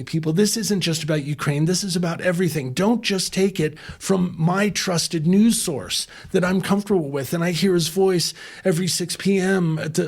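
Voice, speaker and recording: Impassioned man, higher voice. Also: darkish tone. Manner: impassioned, emphatic, with frequent restarts. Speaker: interview guest, former chef turned humanitarian. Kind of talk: conversational interview.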